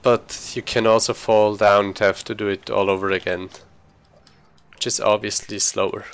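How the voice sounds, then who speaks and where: A man's voice: lowish voice, flat delivery, normal speed, clean tone. A man giving casual live commentary as he streams a speedrun, informally thinking aloud while he plays.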